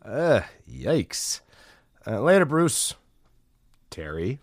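A man's voice: mid-range voice, very animated, drawn-out words, dry recording, studio quality, heavily acted. An actor playing a smug small-town radio DJ, delivering his show patter. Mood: casual. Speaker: radio host.

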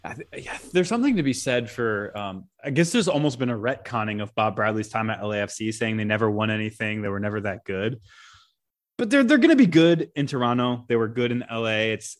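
A man co-hosting a soccer podcast, talking casually with frequent restarts and small laughs. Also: fairly low pitch. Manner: conversational, many restarts and soft laughs. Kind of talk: casual sports talk.

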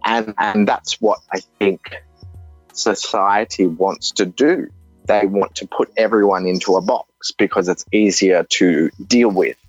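A man's voice: lowish voice, fairly even intonation, dry recording. A man in his thirties with an Australian accent, giving an earnest personal testimony, often restarting his sentences. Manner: halting, earnest, with frequent restarts. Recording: dull, dry recording with faint music.

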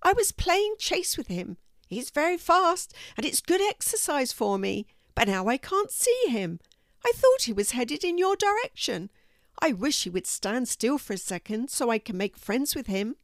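Woman playing a bouncy high-voiced ginger tomcat. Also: sing-song, moderate pace, dry recording, studio quality. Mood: cheerful, playful. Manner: bouncy, eager, good-natured. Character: big playful friendly male ginger cat. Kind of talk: children's storybook character voice.

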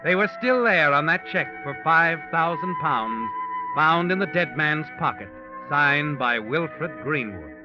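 An actor playing an ominous, all-knowing crime narrator in 1940s radio drama style, grave and deliberate. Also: male voice, on the high side, lively intonation. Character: ominous omniscient male narrator of a crime mystery. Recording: dull vintage radio recording, loud music behind.

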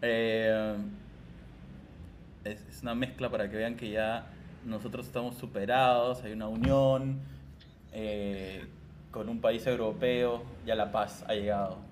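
A man's voice: fairly low pitch, flat delivery, measured pace, darkish tone, slight background noise. A man hosting a casual radio chat about music, teasing and laughing often. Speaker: radio host.

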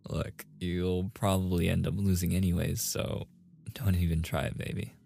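A man's voice: low-pitched, vocal fry, mellow, slight background noise. A man playing a playful, easily flustered young gamer boyfriend in a casual, intimate ASMR roleplay. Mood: cocky, teasing. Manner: casual, intimate, with chuckles and sighs.

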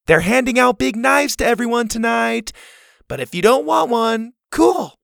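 Man voicing a bold little girl, teasing and cocky, pitched way up. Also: lively intonation, normal speed, gravelly, a bit bright, dry recording, clean studio sound, full character voice. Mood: cheeky, teasing. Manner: cheeky and high-pitched.

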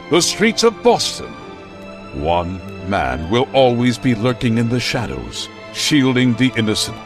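Melodramatic old radio crimefighter, slow grand delivery, pitch swings around, music under him. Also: male voice, heavily acted. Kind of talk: 1940s radio serial acting.

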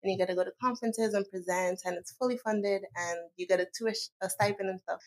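Relaxed young woman, mid-pitched voice. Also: fast, low-quality recording. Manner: relaxed, heavy use of "like" fillers. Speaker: interview guest, graduate student. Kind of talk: casual conversational answers.

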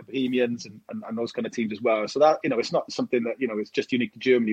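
A man's voice: on the high side, a little mellow, breathy edge, no echo, rough recording. A Welsh man in his forties, a documentary director, talking casually in a podcast interview and rambling with many fillers and restarts. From Wales. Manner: fast, rambling storyteller with lots of fillers and restarts.

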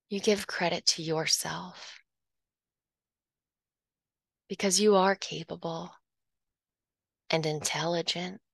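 A woman talks a listener through a guided hypnosis meditation, drawing out every phrase at a very unhurried pace and sometimes easing into a soft whisper. Her voice sits on the low side and carries a gravelly, fry-tinged texture. The recording is dry and close, with no room sound around her. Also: dry recording.

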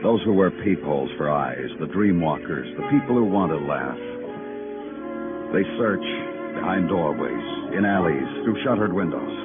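A brooding American police detective in a vintage noir radio show. His voice is low and swings expressively, with terse, deliberate phrasing. The sound is narrow and tinny, like an old broadcast. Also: animated, loud music behind the voice. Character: brooding, world-weary male big-city police detective. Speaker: lead actor and narrator. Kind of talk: 1950s noir radio drama acting and narration.

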